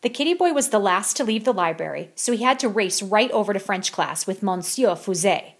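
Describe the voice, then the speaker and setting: A woman's voice: medium pitch, lively intonation, fairly quick. A woman reading a children's picture book aloud as a storyteller.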